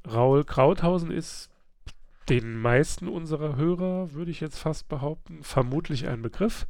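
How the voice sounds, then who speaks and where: A man with a gravelly edge hosts a relaxed book club podcast while nursing a slight cold. His voice is dry and close, with nothing around it. He chats loosely, laughs often and fills pauses with little hesitation sounds. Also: on the high side, no echo. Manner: chatty, laughs often, many filler sounds. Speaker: podcast host.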